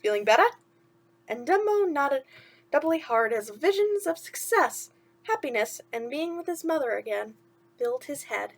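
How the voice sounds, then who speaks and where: A woman's voice: sits high, lively intonation, normal speed, a little hiss or hum. A woman hosting bedtime stories, speaking simply and encouragingly to young listeners. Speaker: host of a bedtime story series. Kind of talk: storytelling to children.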